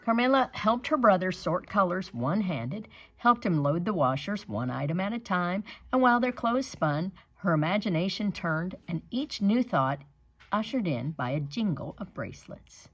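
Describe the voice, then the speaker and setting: Woman storyteller, picture book read-aloud; low-pitched, highly animated, light music bed. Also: moderate pace. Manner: expressive, dramatic storybook narration.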